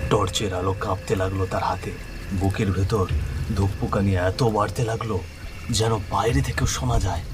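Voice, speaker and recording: Lowish male horror narrator over music. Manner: dramatic, suspenseful. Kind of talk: scripted horror story narration.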